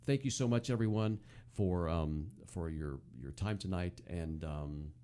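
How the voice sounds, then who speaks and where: An American man hosting a casual chat show, talking in a halting, conversational way and often repeating words. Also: deep voice, warm tone. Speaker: podcast host. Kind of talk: casual chat about old-time radio.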